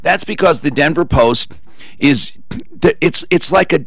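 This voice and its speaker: A man's voice: lively intonation, unhurried, gravelly, dry recording, highs cut off. A man hosting a call-in radio show, talking with opinionated, emphatic rants into a studio mic. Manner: opinionated ranting, emphatic swings in pitch. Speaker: radio talk show host. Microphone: studio boom mic with headphones.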